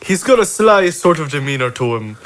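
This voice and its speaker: Man putting on a high-pitched haughty old-country toy horse. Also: very animated, a little fast, fairly bright tone. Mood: suspicious, haughty. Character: sly-accusing wooden rocking horse from the old country. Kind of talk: improvised tabletop audio drama.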